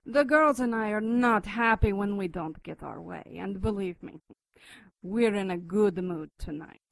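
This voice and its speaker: Demon gang boss for radio play: menacing, commanding, mocking, medium register. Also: female voice, mid-range voice, measured pace, gravelly, dry recording, low-quality recording. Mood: cold menace. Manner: imperious, taunting. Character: cold, menacing female demon gang leader. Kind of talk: audio drama acting.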